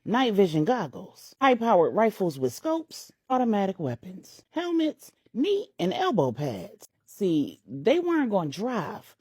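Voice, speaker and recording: A woman's voice: mid-range voice, very animated, measured pace, hardly any pauses, dark tone, husky, dry recording, clean studio sound. A woman narrating a scripted story as a storyteller, with a dramatic, emphatic delivery.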